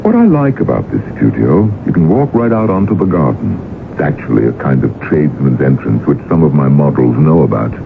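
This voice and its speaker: An actor playing a sly gentleman portrait painter, speaking in an urbane, wry, deliberate way in a radio drama. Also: extremely low pitch, big pitch swings, vocal fry, full character voice. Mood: wry, curt. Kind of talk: 1980s radio drama acting. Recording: tinny, noisy old radio broadcast recording.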